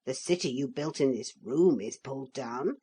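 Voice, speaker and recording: A woman's voice: a bass voice, normal speed, dark tone, slightly rough, exaggerated voice. A woman reading a storybook, voicing a small wooden figure come to life who explains things patiently and plainly. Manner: patient, matter-of-fact explaining. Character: calm, wise little wooden man come alive.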